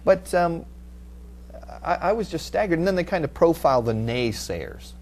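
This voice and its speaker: A middle-aged man hosting a studio panel talk, reading out and commenting on news in a halting way. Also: fairly high pitch, expressive, a little mellow. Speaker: TV panel host. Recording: audible background noise with hum.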